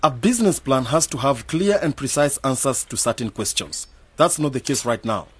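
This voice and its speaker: An actor playing a skeptical, sober-minded young graduate man in a radio drama, arguing and questioning, with a husky voice. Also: mid-range voice, animated, moderate pace. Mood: skeptical, firm. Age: young adult. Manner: questioning, argumentative.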